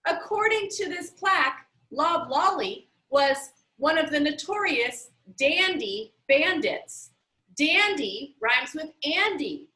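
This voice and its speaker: A woman reading as a clever, playful girl from a children's storybook, keen on puzzles. Her voice is high and bright, emphatic and exclaiming, with a roomy echo around it. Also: animated, slow, heavily acted. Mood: excited, triumphant.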